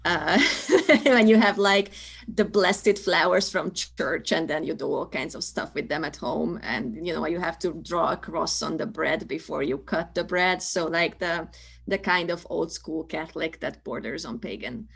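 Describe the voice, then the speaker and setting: A woman's voice: deep voice, unhurried, gravelly, husky. A woman from Hungary, speaking English as a second language with a Hungarian accent, talking thoughtfully as a storyteller guest and laughing often. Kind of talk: conversational interview.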